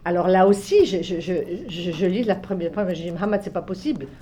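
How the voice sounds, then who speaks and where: Mellow-voiced older woman translator reminiscing. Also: fairly low pitch, brisk, a little hiss or hum. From Egypt. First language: Arabic and French, both native. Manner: reflective, many restarts and soft trailing phrases. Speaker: literary translator. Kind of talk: relaxed spoken recollection.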